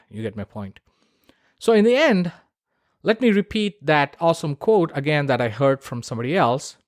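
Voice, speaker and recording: A man's voice: medium pitch, no echo, clean studio sound. A man hosting a solo podcast, giving opinionated advice in a blunt, informal and emphatic way. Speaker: podcast host.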